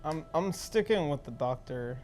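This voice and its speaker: A woman's voice: low-pitched, lively intonation, normal speed, a little mellow. A woman bantering casually as a player in a tabletop role-playing game. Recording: faint music behind the voice. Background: background music.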